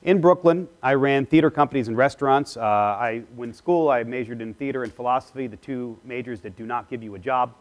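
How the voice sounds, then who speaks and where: A man in his forties talks through a keynote on a lapel mic in a large lecture hall. He is casual and self-deprecating, with plenty of "uh" fillers between thoughts. His voice sits at an ordinary middle pitch for a man. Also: brisk, few pauses. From Philadelphia area, US East Coast. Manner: gestures with a clicker while pacing the stage. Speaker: keynote speaker. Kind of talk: conference keynote talk.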